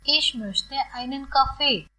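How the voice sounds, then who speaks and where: A woman language teacher reading short phrases aloud slowly and clearly, saying each one twice for learners to repeat. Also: sits high, pushed and bright.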